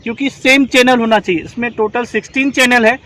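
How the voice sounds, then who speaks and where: A man with a strikingly high voice leads a hands-on training session for rescue volunteers. He explains step by step and keeps checking that the class has understood. The recording is made outdoors. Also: steady tone, a little fast, pushed and bright. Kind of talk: instructional demonstration.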